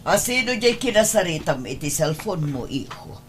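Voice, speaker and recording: A woman's voice: lowish voice, big pitch swings, normal speed, slight background noise. A woman playing a concerned older mother who questions her family in an Ilocano radio drama. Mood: shocked, questioning.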